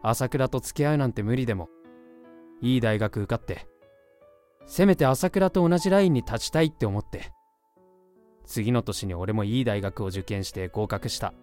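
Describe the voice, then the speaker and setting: A man's voice: medium pitch, lively intonation, normal speed, pauses often, a little mellow, soft background music, natural voice. A young man playing an awkward, self-deprecating, lovesick university student in a voice drama. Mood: wistful, earnest. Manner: hesitant, earnest, blunt. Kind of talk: Japanese voice drama acting with narration.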